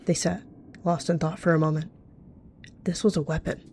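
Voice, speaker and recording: A woman's voice: low voice, flat delivery, normal speed, a little mellow, slight background noise. A woman reading scripted story narration as a storyteller.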